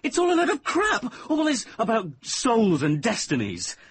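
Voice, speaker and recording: An actor in a radio drama playing a sensible young man, a boyfriend with a breathy, husky voice and a conversational manner. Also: extremely high pitch, huge pitch swings, moderate pace, exaggerated voice. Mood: angry, exasperated. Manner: conversational, placating, turning exasperated.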